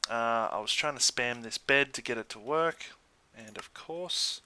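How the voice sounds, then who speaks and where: An Australian man giving casual, chatty let's play commentary in an easygoing way, thinking out loud as he goes. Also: medium pitch, unhurried. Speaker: gaming YouTuber.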